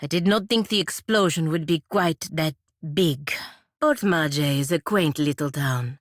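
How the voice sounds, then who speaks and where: Proud stern fantasy game warrior lady, low voice, formal n dryly sardonic, very dry close sound. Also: no echo. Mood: sober, wry. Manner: formal, measured, dryly sardonic, avoids contractions. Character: stern, proud, wry feathered warrior woman from a fantasy republic. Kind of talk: scripted video game companion voice lines.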